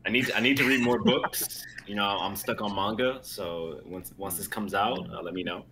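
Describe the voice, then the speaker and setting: A man's voice: fairly low pitch, moderate pace, a crowd in the background, poor audio. A young man chatting casually and jokingly as a co-host of a group stream. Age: young adult. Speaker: co-host of an online readers' stream.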